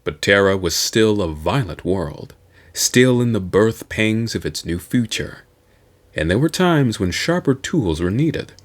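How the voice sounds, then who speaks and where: A man reading a science fiction novel aloud as host, with a theatrical, deliberate delivery. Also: on the low side, animated.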